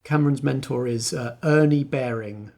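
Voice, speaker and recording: A man's voice: medium pitch, normal speed, vocal fry, darkish tone. A man narrating an improvised tabletop roleplaying scene as game master.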